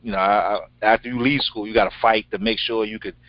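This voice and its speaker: A middle-aged man with a deep voice co-hosting a casual show, talking in an emphatic, slangy way and repeating his phrases. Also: steady tone, quick pace, no echo, lo-fi sound. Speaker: show co-host. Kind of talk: casual, unscripted conversation.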